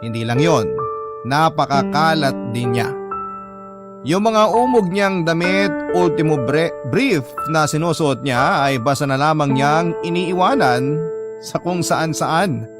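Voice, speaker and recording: A man's voice: on the high side, lively intonation, a little slow, over loud music. A man with a Filipino accent reading a listener's letter as the scripted narration of a radio drama.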